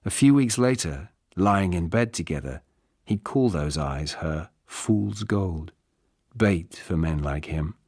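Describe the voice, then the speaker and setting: A man's voice: low-pitched, expressive, takes it slowly, darkish tone, slightly rough, no echo. A British man reading literary fiction as an audiobook narrator in a studio. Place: dry studio.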